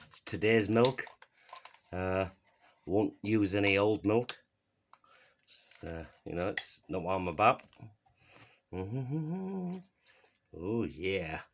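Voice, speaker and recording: A man's voice: fairly low pitch, expressive, takes it slowly, pauses often, slight background noise. A man with a Yorkshire accent hosting a mock cooking show at home in a kitchen, joking at himself and chuckling between lines. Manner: jokey and self-mocking, chuckling between lines. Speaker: joke cooking video host.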